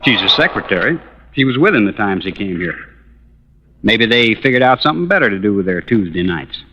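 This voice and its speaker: An actor playing a cagey, wry man in a 1950s radio drama, laconic and unhurried. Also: fairly low pitch, very animated, pushed and bright. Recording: noisy, dull old radio recording, clicks.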